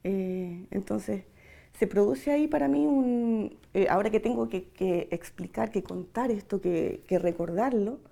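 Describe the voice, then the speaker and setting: Chilean woman, forties, oral-history interviewee. Soft-edged, mellow tone with marked vocal fry; thoughtful, halting delivery. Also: medium pitch. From Santiago, Chile. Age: 40s. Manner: reflective, hesitant, searching for words with long pauses. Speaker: interviewee giving testimony. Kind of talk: personal oral-history testimony.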